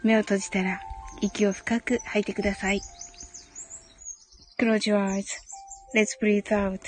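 Woman, slow-paced, over music. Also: medium pitch, flat delivery, darkish tone. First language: Japanese. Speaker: host of an English-learning mindfulness audio show. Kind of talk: bilingual guided meditation, then casual live chat with listeners.

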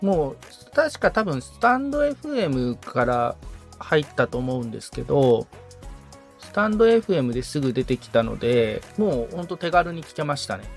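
A man's voice: fairly high pitch, very animated, normal speed. A man assisting on a podcast, giving polite, somewhat hesitant answers in a casual interview. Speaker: podcast assistant and guest learner. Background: music.

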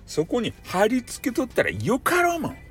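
A man's voice: very high-pitched, wildly swooping pitch, normal speed, a bit bright, slightly rough, faint music behind, voice pushed up, exaggerated voice. A man playing a hot-headed, bossy company boss with a Hakata accent, scolding bluntly in a comic radio drama. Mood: furious scolding. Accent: Hakata, Fukuoka.

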